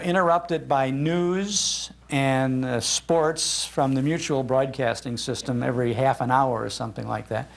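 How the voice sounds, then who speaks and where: A man's voice: mid-range voice, gravelly. An older man, a radio host, giving an informal live talk in a chatty, anecdotal way into an event microphone. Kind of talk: informal public talk, storytelling. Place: speaking live in a public library room.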